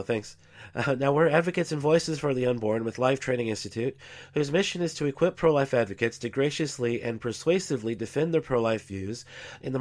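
Stuffy-nosed guy hosting a podcast, talks slow and careful, lots of uhs. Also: medium pitch. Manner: measured, explanatory, with frequent uh fillers. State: congested from a cold. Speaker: podcast host. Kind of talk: scripted-sounding podcast commentary.

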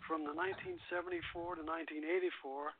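A man's voice: fairly high pitch, level tone, fast, noisy background. A man hosting a radio show, reading scripted introductions and narration, with a thin phone-like sound. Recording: phone-like tinny sound over loud music.

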